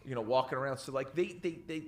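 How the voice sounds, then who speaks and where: A man's voice: mid-range voice, fast talker. A man co-hosting a casual podcast, giving emphatic, opinionated takes with some swearing. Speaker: podcast co-host. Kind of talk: casual chat, pop culture opinions.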